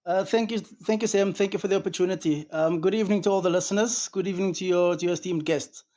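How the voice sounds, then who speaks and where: A man's voice: high voice, fairly even intonation, fast, warm tone. A South African man answering interview questions as a business co-founder, hesitant and filling gaps with "um" over a call line. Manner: hesitant, many fillers and restarts.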